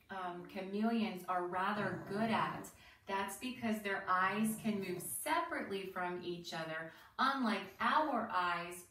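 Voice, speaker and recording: A woman reading a children's book aloud like a teacher, expressive and deliberate, pausing for asides and questions to young listeners. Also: medium pitch. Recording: roomy, distant mic with faint noise.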